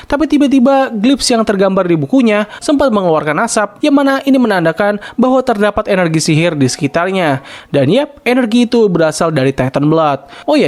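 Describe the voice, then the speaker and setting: A young man with an Indonesian accent narrating a scripted cartoon recap, with bits of casual slang. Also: high-pitched. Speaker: video host and narrator.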